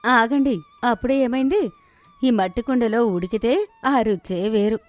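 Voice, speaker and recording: A woman voicing a poor, confident village wife in an animated story, reassuring and determined. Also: a little high, unhurried, faint music behind, close to an everyday voice.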